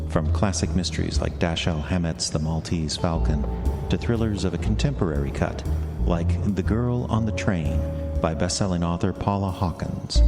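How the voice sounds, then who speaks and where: A man with a low voice delivers a scripted ad in noir style. His announcer read rings with long reverb, and music plays clearly behind him. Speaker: advertisement announcer.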